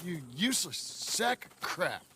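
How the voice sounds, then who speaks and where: An actor playing a gruff, exasperated American cowboy homesteader in a Western video game, speaking curtly and irritably. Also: male voice, sits high, very animated, a little slow, bright, forceful tone, a little hiss or hum, heavily acted. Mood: angry. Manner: curt, irritable.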